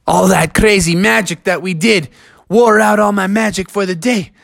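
A man's voice: sits high, moderate pace, vocal fry, a bit bright, dry recording, studio quality, pitched above the performer's own voice, exaggerated voice. A man voicing a tired, apologetic magical narwhal in a children's story.

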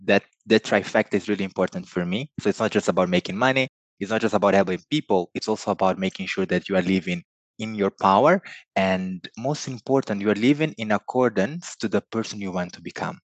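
A man's voice: fairly low pitch, fairly quick, no room sound. A middle-aged man from Mozambique, a native Portuguese speaker, talking earnestly as an interview guest and laughing often between thoughts. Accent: Portuguese-accented English. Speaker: guest, business consultant. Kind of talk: relaxed interview conversation.

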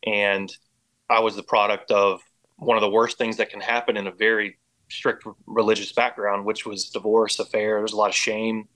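An American man shares his personal story as an interview guest. His voice sits fairly low and stays very even, with little rise and fall. He speaks earnestly and reflectively. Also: normal speed. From Indiana and Oklahoma, United States. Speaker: podcast guest.

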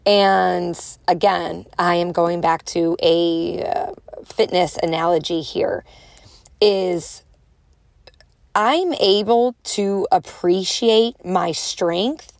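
Chicago woman with a gravelly edge. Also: fairly low pitch, fairly even intonation, very slow, dry recording. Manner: casual, encouraging, acts out inner voices. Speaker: podcast host. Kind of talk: solo motivational podcast talk.

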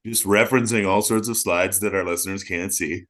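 A man in his forties co-hosts a casual podcast in a low, deep voice. He talks in a fairly flat, monotone way and pokes gentle fun at himself. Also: a little fast. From Canada. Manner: monotonous, self-deprecating. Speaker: podcast co-host. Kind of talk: casual chat.

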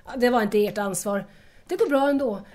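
Woman playing a shy guest, slightly high voice. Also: a little mellow, close to an everyday voice. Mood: shy, polite. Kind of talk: audio drama acting.

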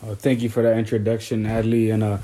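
A young man from Haiti hosts a podcast in a low, mellow voice. He reads steadily and pauses now and then on small fillers. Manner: steady, slightly halting with fillers. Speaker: podcast host, graduate student. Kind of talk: semi-scripted podcast hosting.

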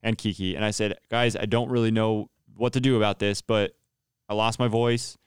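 A man with a low voice, a worship pastor, telling stories casually in an interview and acting out what people said. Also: moderate pace, smooth, no echo, clean studio sound. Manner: animated storyteller, acts out quoted dialogue, laughs often. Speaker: worship pastor and podcast host, interviewed as guest.

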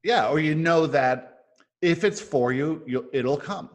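A man's voice: on the high side, animated, measured pace. A man hosting a discussion in a casual, explanatory way, as if thinking his points through aloud. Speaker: host of a book club discussion. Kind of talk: casual spiritual self-help discussion with some reading aloud.

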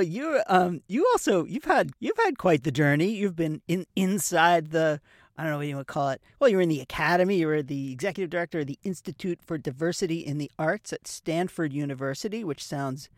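A man with a somewhat high voice hosts a podcast chat, easygoing and quick to laugh as he trips over his phrasing. He sounds close to the mic, with a dry sound and no echo. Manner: friendly, laughs often, stumbles over words. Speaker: podcast host. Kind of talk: conversational podcast interview.

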